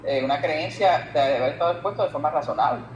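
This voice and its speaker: A man with a Puerto Rican Spanish accent explaining as an expert guest in an interview, haltingly repeating words as he reasons. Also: a little high, expressive. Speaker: guest expert on labor law. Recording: echoey room with some background noise.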